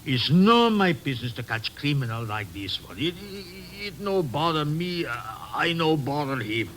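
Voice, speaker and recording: Flustered, lively-pitched Dutch sea captain. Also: male voice, normal speed, slight background noise. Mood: uneasy, reluctant. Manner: hesitant, broken English, repeats himself. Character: bumbling Dutch cargo ship captain, reluctant and flustered. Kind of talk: old-time radio drama acting. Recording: vintage radio recording with music.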